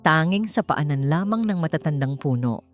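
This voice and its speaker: A woman's voice: sits low, lively intonation, normal speed, soft background music. A woman with a Filipino accent narrating a dramatic scripted story. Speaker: audiobook narrator.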